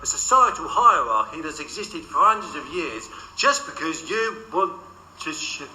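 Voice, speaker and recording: Echoing, earnest, argumentative Tudor debater. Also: male voice, fairly high pitch, big pitch swings, moderate pace, sharp tone, heavily acted. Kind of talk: radio drama acting. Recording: echoey hall with long reverb.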